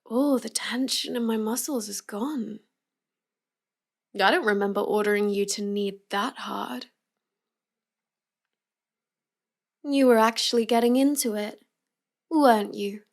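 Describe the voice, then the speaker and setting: A woman's voice: a little high, fairly even intonation, creaky. A woman playing a cute, teasing young villainess in an intimate roleplay audio, drawing out her words as she mocks the listener. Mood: smug, pleased. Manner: slow, teasing delivery with drawn-out words and giggles. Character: cute, teasing, mocking young villainess with mind-control powers.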